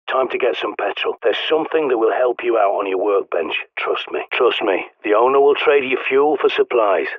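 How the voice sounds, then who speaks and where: A blunt, sardonic British survivalist guiding someone through a video game over the radio. His voice sits at a middle pitch and comes through thin and tinny like a phone line. The sound is dry, with no room around it. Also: no room sound. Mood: grim, then exasperated. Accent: British English. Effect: radio transmission filter. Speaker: radio guide character.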